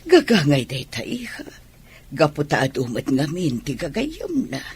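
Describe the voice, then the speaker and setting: A woman's voice: low voice, wildly swooping pitch, a little slow, a little mellow, heavily acted. An actress with a Filipino accent playing a concerned older mother giving advice in a radio drama. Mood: concerned, resigned. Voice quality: raspy, breathy. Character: concerned older mother advising her married daughter. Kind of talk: Ilocano radio drama acting.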